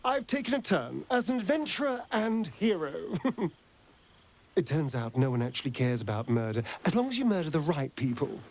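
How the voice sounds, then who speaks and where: A man's voice: fairly high pitch, big pitch swings, moderate pace. A man playing a flamboyant, sardonic vampire rogue in a video game, with an arch, drawling delivery and a tender streak. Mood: playful. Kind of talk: theatrical video game character acting.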